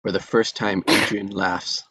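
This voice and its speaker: A man reading the stage directions aloud at a script table read, plain and even. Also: fairly low pitch, measured pace, husky, no echo.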